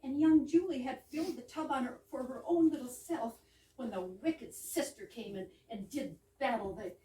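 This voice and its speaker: A woman's voice: high voice, moderate pace, dark tone, natural voice. A woman playing a playful, teasing younger sister in an amateur staged reading of a 1920s comedy, breezy and mock-grand. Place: live reading in a roomy indoor space. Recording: echoey room, distant mic, background noise.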